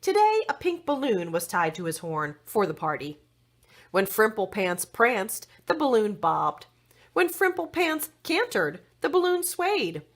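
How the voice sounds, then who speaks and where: A woman narrates a children's storybook with a very lively, swooping melody. Her delivery is deliberate and expressive, pitched in an ordinary female register. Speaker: story reader.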